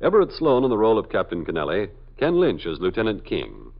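Formal male announcer, low animated voice, lo-fi 1950s broadcast. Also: fast talker, gravelly. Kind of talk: radio closing announcement. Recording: lo-fi 1950s radio recording.

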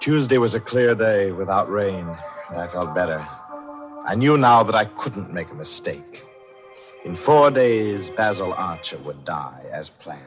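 An actor playing a cold, scheming male newspaper columnist in a 1940s radio drama, calculating and measured. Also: deep voice, lively intonation. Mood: cold resolve. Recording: muffled old radio broadcast with music.

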